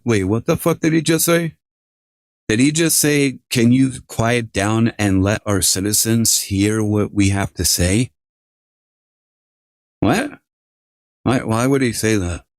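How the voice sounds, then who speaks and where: Male livestreamer, lowish voice, dry close sound, halting and repetitive casual chat. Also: no room sound.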